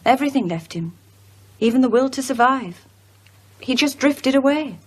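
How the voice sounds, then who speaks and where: An actress playing a sombre, guarded woman in a radio drama, husky, subdued and precise. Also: high-pitched, expressive, moderate pace.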